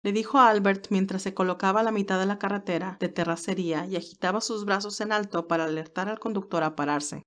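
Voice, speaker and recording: A woman narrates a story from a script. Her pitch is in the middle range for a female voice. She takes the role of storyteller. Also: fast talker, few pauses, a bit bright.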